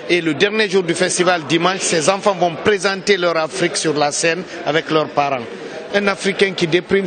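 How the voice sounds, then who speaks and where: High-voiced man from Guinea at a festival. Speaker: festival founder and city councillor. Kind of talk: interview answer at a festival. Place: outdoors at a busy festival. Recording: noisy crowd around. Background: crowd chatter.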